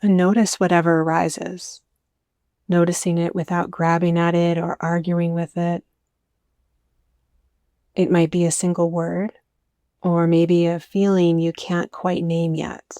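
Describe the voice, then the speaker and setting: A woman leading a guided meditation, speaking gently and soothingly in scripted, softly inviting phrases. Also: on the low side, level tone, unhurried, pauses often, smooth, no echo.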